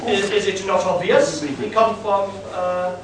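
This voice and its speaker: A man in an amateur radio play playing a shifty foreign schemer who blusters haltingly. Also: sits high. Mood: bluffing. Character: shifty foreign schemer posing as a Welsh villager. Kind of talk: amateur live comic radio play acting. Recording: echoey hall from far off, noisy.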